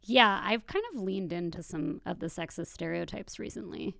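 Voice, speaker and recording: Creaky-voiced young American woman, chatting. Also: lowish voice, moderate pace, a bit bright, no room sound. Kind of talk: casual chat between friends.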